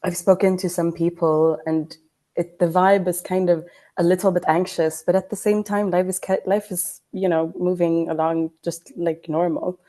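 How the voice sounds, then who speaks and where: A young adult woman journalist from Iraq who grew up in Sweden, speaking in a measured, thoughtful way with frequent pauses on a podcast. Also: fairly low pitch, flat delivery, dark tone. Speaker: journalist guest. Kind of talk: casual podcast chat.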